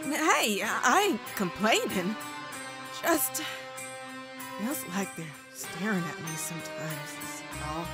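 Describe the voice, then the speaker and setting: A woman voicing an edgy, wary young female drifter with uneasy, rough talk in a comic dub. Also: medium pitch, big pitch swings, slow, loud music behind the voice. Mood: uneasy, grumbling. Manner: swearing, uneasy.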